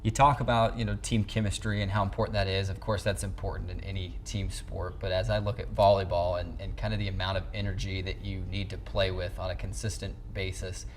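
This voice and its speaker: An American man in his twenties with a fairly low, flat-toned voice hosts a sports interview. He talks conversationally in long, wordy lead-ins. There is some background noise on the recording. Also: brisk, few pauses. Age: 20s. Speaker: sports show host, interviewer. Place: small indoor locker-room set. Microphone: lapel mic.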